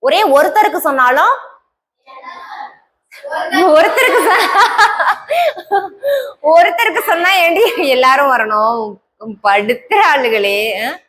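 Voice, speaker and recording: A woman teaching a classroom grammar lesson, explaining step by step, quizzing the class and answering herself, and reading English example sentences out slowly word by word. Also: sits high, lively intonation, a bit bright, slight background noise. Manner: scolds and teases students lightly between explanations.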